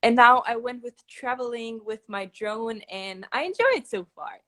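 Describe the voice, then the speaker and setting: A woman's voice: a little high, moderate pace, sharp tone. A young woman from Croatia, raised in Germany, chatting as a guest on a live stream, bubbly and a little nervous, laughing often. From Croatia, grew up in Germany. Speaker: guest, content creator and teacher. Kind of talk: casual live-stream chat.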